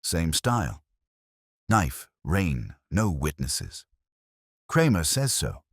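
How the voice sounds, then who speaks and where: Man playing a deep-voiced, wry detective's sidekick. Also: huge pitch swings, slow, slightly rough, dry recording, full character voice. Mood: terse, grim. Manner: flippant, dry-humored. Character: wry, streetwise male detective's assistant.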